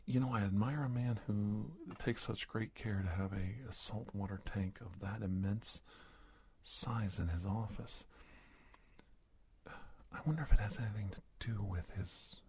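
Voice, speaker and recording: A man playing a polite, nervous middle-aged investigator in an ASMR roleplay, soft-spoken and halting, often slipping into a whisper. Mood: curious, attentive. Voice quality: husky, breathy, gravelly low voice. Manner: halting, hesitant, courteous, deliberate phrasing.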